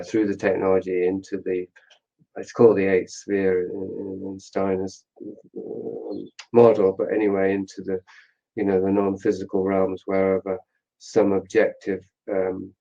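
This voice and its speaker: A man's voice: low-pitched, monotone, a little slow, creaky, warm tone, lo-fi sound. A man giving a reflective explanation in a discussion, pensive and often searching for the right words. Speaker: interview guest, author.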